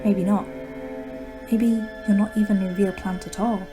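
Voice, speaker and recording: A woman performing a radio-drama monologue as a wounded, sinister house-plant owner, speaking in a hushed, halting, confiding way. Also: mid-range voice, fairly even intonation, music in the background, close to an everyday voice. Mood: bitter, defensive.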